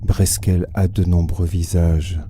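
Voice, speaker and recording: An actor playing a haunted, brooding man who narrates his uneasy memories in a solemn, measured literary reading. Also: sits low, little pitch movement, mellow, a little hiss or hum. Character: haunted, brooding man recalling an uncanny old house. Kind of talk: dramatised literary reading with sound design.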